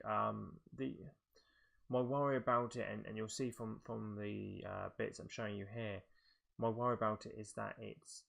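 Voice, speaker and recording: A man's voice: fairly low pitch, gravelly, fairly bright tone. A man giving casual video game commentary, thinking aloud in a hesitant, rambling way. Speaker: video game show presenter.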